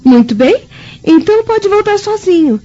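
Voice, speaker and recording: A woman's voice: very high voice, very animated, normal speed, dark tone, lo-fi sound. A Brazilian-accented woman playing a proud, assertive young Roman noblewoman in a radio drama, speaking eloquently. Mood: indignant.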